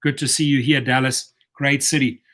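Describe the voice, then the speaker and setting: A man's voice: mid-range voice, little pitch movement, normal speed, a bit bright. A man with a South African accent hosting a livestream, rallying viewers and calling out long lists of cities and viewer comments. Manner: reads viewer comments aloud. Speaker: channel host. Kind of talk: livestream call to action.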